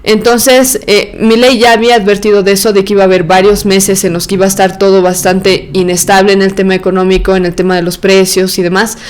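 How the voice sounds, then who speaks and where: A woman's voice: medium pitch, little pitch movement, brisk, few pauses, a little mellow, clean tone. A woman from Argentina and Bolivia hosting a solo podcast, giving chatty, opinionated commentary with frequent fillers and restarts. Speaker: podcast host.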